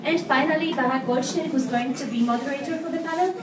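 A woman host introducing panel speakers on stage with upbeat announcements and filler pauses. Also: sits high, noisy background. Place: event hall. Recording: echoey hall, voice from far off.

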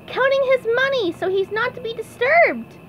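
A woman voicing an eager, childlike, bubbly male sea sponge fry cook from a cartoon storybook in an excitable way. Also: very high-pitched, moderate pace, slight background noise, pitched above the performer's own voice, poor audio. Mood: chirpy, helpful. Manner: excitable, exclamatory.

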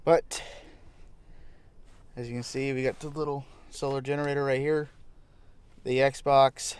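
A young man with a North American accent talks to his camera in an easygoing, colloquial way. His voice sits at a medium pitch, with the casual delivery of an outdoor vlogger recording inside a truck. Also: smooth. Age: young adult. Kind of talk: casual vlog chat to camera. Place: inside a parked truck at night.

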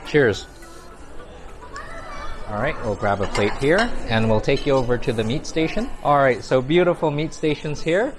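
A man's voice: medium pitch, lively intonation, moderate pace. A middle-aged man giving a casual, appreciative food review to camera as a vlog host. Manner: appreciative, exclaiming over food. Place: large busy buffet restaurant, indoors. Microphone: clip-on lapel mic. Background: background music.

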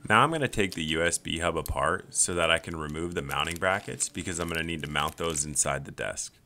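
A man's voice: extremely low pitch, brisk, bright, forceful tone. A man in his thirties narrating his woodworking build in a plain, step-by-step voice-over. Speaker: woodworking channel host.

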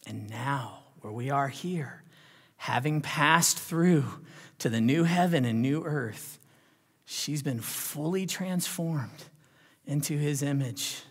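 A man delivering a sermon as a pastor, with a dramatic delivery that moves between hushed and emphatic. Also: a little high, expressive, slow, slightly rough. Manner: dramatic, swinging from hushed near-whispers to loud emphatic declarations; rhetorical questions and check-ins with listeners. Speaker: pastor preaching. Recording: long hall reverb.

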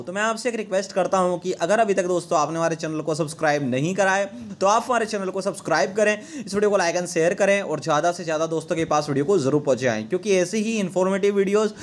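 A young man hosting a tech channel, talking viewers step by step through phone settings in an earnest, repetitive way, recorded on a lapel mic in a small studio. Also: high voice. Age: young adult. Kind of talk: phone tutorial with screen-recorded voice-over. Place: small dark indoor studio.

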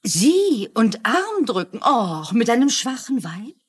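Casting: cocky, mocking strongwoman, expressive delivery, higher register, dubbed series. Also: slow pace, slightly rough, exaggerated voice. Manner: slangy, taunting. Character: brash, sassy, strong woman, mocking and tough. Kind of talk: dubbed TV drama acting.

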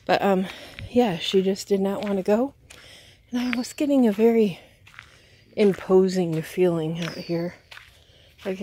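A woman you would hear on a homemade walking vlog, rambling to her handheld camera outside, with plenty of outdoor noise around her mid-pitched voice. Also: warm tone. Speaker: vlogger. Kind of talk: casual walking vlog chat. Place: outdoors on a wooded gravel driveway and yard. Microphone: handheld phone or camera. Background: clear outdoor ambient noise.

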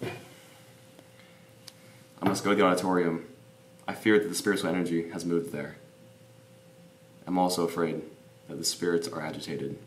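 A man's voice: low voice, flat delivery, moderate pace, pauses often. Man playing a solemn, ominous priest, echoey room. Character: grave mystical male priest guarding against ghosts. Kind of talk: amateur student comedy film acting. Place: dim indoor school room. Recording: echoey room with faint background hiss.

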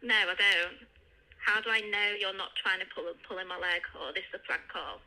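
A woman's voice: medium pitch, moderate pace, sharp tone. A woman working as a receptionist, speaking in a firm, matter-of-fact customer service manner over a phone call. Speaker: casino receptionist.

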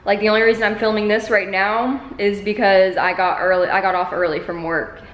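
A woman's voice: medium pitch, level tone, a little fast. A woman in her twenties chatting casually and informally to camera as a vlogger, holding it at arm's length indoors. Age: 20s. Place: medium-sized living room indoors. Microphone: camera held at arm's length. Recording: echoey bare room.

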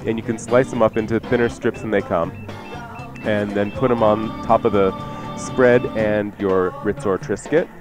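A man's voice: on the low side, steady tone, moderate pace. An American man hosting a radio cooking show, chatting casually and explaining things in an informal, bantering way. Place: radio studio. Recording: radio broadcast with music behind. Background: music.

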